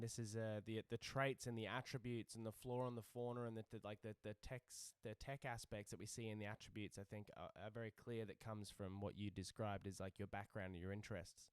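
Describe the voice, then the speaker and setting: Man, co-host, enthusiastic, mellow, smooth voice, dry sound. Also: on the low side, level tone, a little fast, hardly any pauses, dry recording. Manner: enthusiastic, swears casually. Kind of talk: casual chat.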